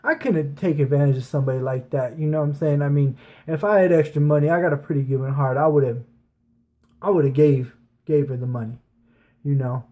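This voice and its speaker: Higher-pitched man telling casual rambling stories. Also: brisk, warm tone. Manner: informal, rambling, slangy, sprinkled with swearing. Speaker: online storyteller, channel host. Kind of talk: casual personal storytelling to viewers.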